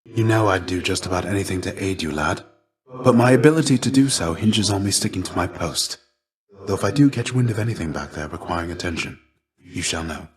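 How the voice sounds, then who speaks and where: A man voicing a weary, gentle fallen Greek warrior as a fatherly mentor in a video game, speaking in a measured, formal, old-fashioned and earnest way. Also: sits low, expressive. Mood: warm, confiding. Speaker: voice actor. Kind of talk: video game character dialogue, measured and theatrical.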